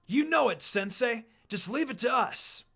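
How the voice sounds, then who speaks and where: A man voicing a brash, hot-headed young ninja boy, loud and forceful, in a story reading. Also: very high-pitched, expressive, a little slow, gravelly, faint music behind, pitched above the performer's own voice, exaggerated voice. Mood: boastful.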